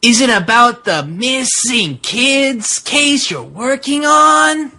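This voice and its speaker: Someone doing an overwrought, slow Mexican wrestler. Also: medium pitch, big pitch swings, noisy background. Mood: defensive, wounded. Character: Rey, emotional Mexican wrestler with a secret monstrous past. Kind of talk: hammy dramatic reading of wrestling fan fiction.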